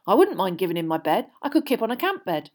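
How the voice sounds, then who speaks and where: A woman voicing an excitable, starstruck teenage schoolboy in an audiobook, with emphatic, exclaiming delivery. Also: on the low side, moderate pace, lightly acted. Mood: eager, gossipy. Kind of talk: acted dialogue in an audiobook reading.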